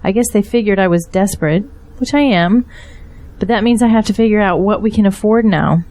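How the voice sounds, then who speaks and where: Woman acting as weary mother, candid, resigned, mellow timbre, medium pitch, audio drama. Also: brisk, lightly acted. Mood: worried. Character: weary, candid, grateful mother facing hardship.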